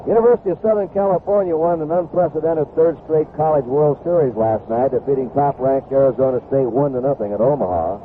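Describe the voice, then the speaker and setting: A man's voice: on the high side, a little fast, hardly any pauses. A man calling live baseball play-by-play on the radio. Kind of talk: live sports commentary. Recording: tinny, noisy old radio broadcast.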